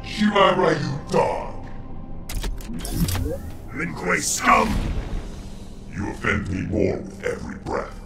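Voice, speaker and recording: A man voicing a menacing, contemptuous cyborg ninja in a fighting game, with gravelly, metallic robot processing and cold, declamatory threats. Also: fairly high pitch, wildly swooping pitch, slow pace, pauses often, shouting, over loud music, exaggerated voice. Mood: furious. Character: menacing, contemptuous male cyborg ninja assassin bent on killing. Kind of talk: video game fighting dialogue.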